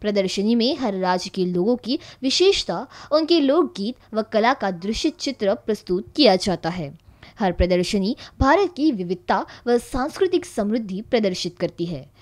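A woman's voice: medium pitch, lively intonation, moderate pace, darkish tone. A woman reading a scripted news voice-over narration.

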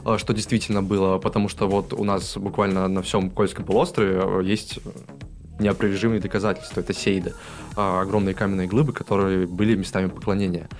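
A man in his thirties, a guest in a studio interview, explaining his tourism project with many pauses and fillers. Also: low voice, little pitch movement, soft background music. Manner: explanatory, with frequent hesitations. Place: radio studio. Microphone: studio mic on stand.

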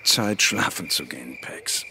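An actor playing a weary male biologist narrating a science fiction radio play in a measured, matter-of-fact way. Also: very deep voice, expressive, noisy background. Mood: subdued, reflective. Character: male biologist Ian, weary first-person narrator of alien expedition.

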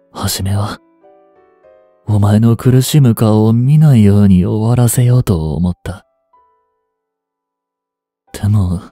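Man acting a breathy, remorseful young hitman. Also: on the low side, dark tone, no echo. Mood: grim resolve. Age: 20s. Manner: anguished, halting, intimate. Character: remorseful young male hitman, tender, grieving and resigned. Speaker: voice actor. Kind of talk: Japanese voice drama monologue, emotional acting.

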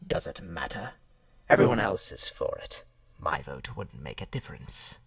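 A man playing a surly, touchy suspect with a gravelly voice in an audio drama. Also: rumbling low, very animated, moderate pace, no room sound, heavily acted. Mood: sullen. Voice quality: gravelly, husky. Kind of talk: scripted audio drama acting.

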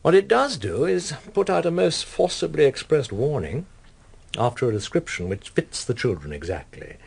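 Husky, grave official. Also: male voice, on the high side, big pitch swings, heavily acted. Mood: grave, guarded. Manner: measured, halting, formal. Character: grave, guarded British official delivering secret intelligence. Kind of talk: radio drama acting.

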